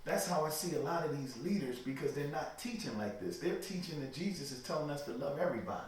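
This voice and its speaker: A man's voice: on the high side, lively intonation, quick pace. A man giving interview answers in a preachy, emphatic way, often checking "you know what I mean". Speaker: interview guest. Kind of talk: emphatic religious talk. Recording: echoey room, mic far off, light noise.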